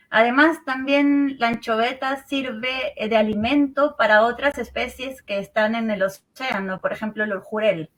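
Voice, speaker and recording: A woman in her thirties with a Peruvian accent, a marine researcher calmly explaining in an interview from home over a remote call, often ending phrases with a questioning tag. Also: medium pitch, rough recording. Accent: Peruvian Spanish. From Peru. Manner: calm, explanatory, many "eh" and "¿no?" tags.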